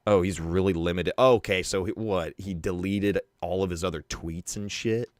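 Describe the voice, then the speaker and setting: Deep-voiced young man mocking bluntly. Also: steady tone, moderate pace, no room sound, studio quality. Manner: crude, mocking, swears a lot. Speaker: commentary streamer. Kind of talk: casual drama commentary.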